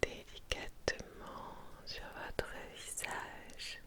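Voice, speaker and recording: A woman whispering gently and soothingly as an attentive spa beautician in an ASMR roleplay. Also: very slow.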